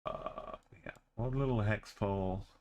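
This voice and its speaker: A man's voice: lowish voice, a little slow, a little mellow, muffled recording. A man streaming a game, thinking aloud in short muttered phrases. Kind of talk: commentary while playing a game.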